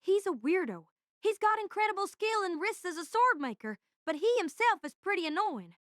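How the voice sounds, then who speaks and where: A squeaky, lively young male alchemist who rattles off his thoughts about his latest invention, as the narrator puts him on. The voice darts up and down in a small, dry-sounding space. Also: normal speed, no echo, heavily acted. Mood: wry.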